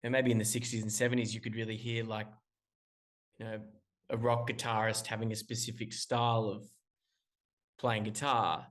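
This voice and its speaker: A man's voice: fairly low pitch, level tone, moderate pace, pauses often, fairly bright tone. A man from Australia, a musician guest calling in remotely, talking casually in long rambling answers full of restarts and fillers. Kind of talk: casual interview talk.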